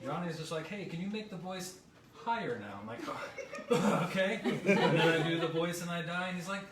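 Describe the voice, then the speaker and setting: A man chatting casually and jokingly on a live panel, with an animated delivery. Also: fairly high pitch, normal speed. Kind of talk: casual live chat, reading out questions. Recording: echoey room, distant mic, loud group chatter.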